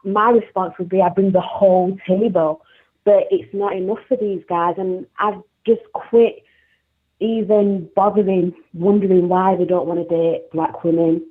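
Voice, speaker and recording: Woman, South Yorkshire accent, low voice, husky tone, tinny phone line. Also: fairly even intonation, a little slow. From South Yorkshire, England. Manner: chatty, laughs often. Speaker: caller. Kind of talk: casual chat. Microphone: phone call.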